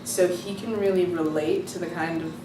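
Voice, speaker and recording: A woman's voice: fairly low pitch, fairly even intonation, normal speed. Chatty young woman student, echoey. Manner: enthusiastic, chatty with many fillers. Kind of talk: interview, casual reflection. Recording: echoey room from far off, music behind.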